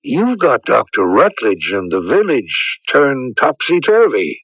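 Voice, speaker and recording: An actor playing a grave, hesitant village priest in a radio drama, solemn and suspicious. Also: male voice, medium pitch, huge pitch swings, dry recording, full character voice. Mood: hesitant, polite. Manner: halting, solemn, clears throat. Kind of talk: 1980s radio drama acting. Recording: dull lo-fi radio broadcast recording.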